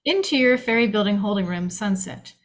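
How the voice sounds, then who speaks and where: A woman reads the action and scene headings of a film script aloud. Her voice sits at a medium pitch, and she delivers the narration dramatically, as at a table read. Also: animated, brisk, a little hiss or hum. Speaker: narrator reading stage directions.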